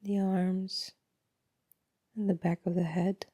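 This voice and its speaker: Dark, husky woman guiding meditation softly. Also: fairly low pitch, dry recording, clean studio sound. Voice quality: soft, breathy, often trailing into near-whisper. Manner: calm, unhurried, gentle instructing with long pauses. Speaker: meditation guide. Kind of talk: guided body scan meditation, slow and soothing.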